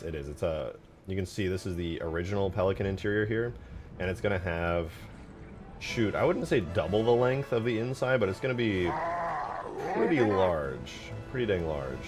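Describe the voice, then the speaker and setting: A man chatting casually and informally on a livestream, replying to viewers in a slangy, self-deprecating way. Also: sits low. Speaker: streamer, game modder. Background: background music.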